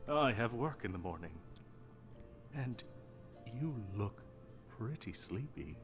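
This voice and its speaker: A man's voice: medium pitch, animated, faint music behind. A man playing a possessive yandere prince in a story game, telling a bedtime story in a tender, controlling manner. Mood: gentle, controlling. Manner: slow, tender, controlling delivery. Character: possessive yandere prince, soft-spoken and menacingly tender lover. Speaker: game voice actor.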